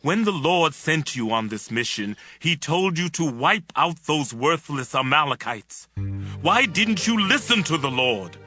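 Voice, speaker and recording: An actor playing a stern, indignant prophet in a dramatized scripture reading, declaiming with command. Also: male voice, fairly high pitch, big pitch swings, unhurried, sharp tone, faint music behind, heavily acted. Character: stern, indignant male prophet rebuking a king.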